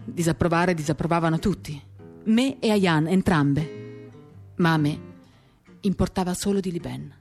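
Woman, lower voice, literary reading, intimate measured delivery, short paused phrases, soft guitar behind. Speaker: reader of a novel. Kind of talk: dramatic literary reading, first-person narration. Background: acoustic guitar accompaniment.

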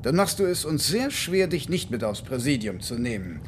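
An actor playing a seasoned interrogating cop in a German radio crime drama, cunning and exasperated, lecturing deliberately and with condescension. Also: male voice, mid-range voice, big pitch swings, a little hiss or hum, close to an everyday voice. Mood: controlled, menacing.

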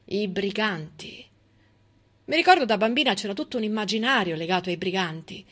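A woman delivering a theatrical storytelling monologue in a dramatic, emphatic way with deliberate pauses. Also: medium pitch, expressive, fairly bright tone, breathy edge. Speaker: stage actress and narrator.

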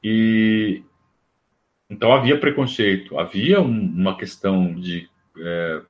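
A man's voice: on the low side, animated, slow pace, highs cut off. A man, talking casually and at length in a rambling livestream monologue, full of restarts and fillers. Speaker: livestream host. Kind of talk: casual monologue on club history.

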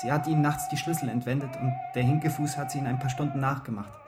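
An actor playing a troubled, self-loathing young man from a poor background in a German radio play, with hesitant, dramatic pauses. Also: medium pitch, fairly even intonation, loud music behind the voice, natural voice. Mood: hesitant confession. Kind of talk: German radio play acting with inner monologue.

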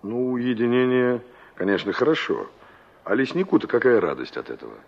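A dull, vintage radio drama recording of a young male engineer. His voice is husky and moves up and down a lot. He is dry, probing and impatient, in the acting style of 1960s Soviet radio. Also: mid-range voice, big pitch swings, fairly quick. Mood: probing, casual.

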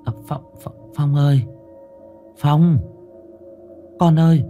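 Frightened village widow, put on by a male narrator, high and pleading, swooping pitch. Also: big pitch swings, normal speed, mellow, dull audio, faint music behind. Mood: anxious. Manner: pleading, shrill with shame.

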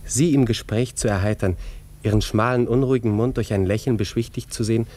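A man playing a sensitive young army lieutenant in a radio play. His voice is mellow and softly dark, and he speaks with courteous, reflective, literary care. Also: fairly low pitch, a little fast, natural voice. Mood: reflective, tender. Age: young adult. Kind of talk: German radio drama, first-person narration.

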